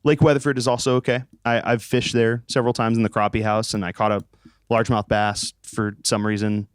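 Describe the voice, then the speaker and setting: A man's voice: on the low side, little pitch movement, fairly quick, clean tone, no room sound, clean studio sound. A young American man chatting casually as an interviewed band musician, joking, rambling and swearing. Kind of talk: casual chat.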